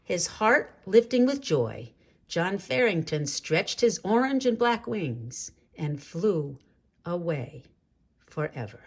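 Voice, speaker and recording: A woman reading a children's story aloud in an expressive, measured way, drawing out key words for drama. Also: lowish voice, husky. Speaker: storybook reader.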